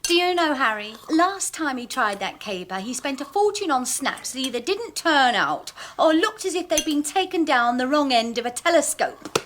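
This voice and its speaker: Sharp-tongued cockney wife, high voice, scoffing at everything. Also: female voice, animated, slight background noise. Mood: scornful. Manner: scornful, sarcastic, quick retorts. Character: sharp-tongued nagging working-class wife. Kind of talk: radio drama acting.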